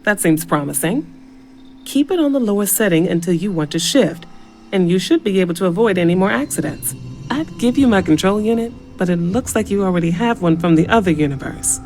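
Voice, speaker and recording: An actress playing a focused, brisk scientist in a video game, precise and businesslike, with a careful but impatient manner. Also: female voice, mid-range voice, expressive, darkish tone, natural voice. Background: music.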